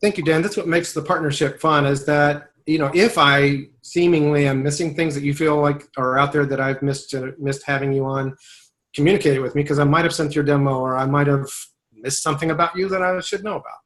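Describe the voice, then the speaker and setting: A man's voice: on the high side, fairly even intonation, fairly quick, fairly bright tone. A man working as a voiceover agent, talking in a casual webinar conversation, thoughtful and rambling, often restarting his sentences.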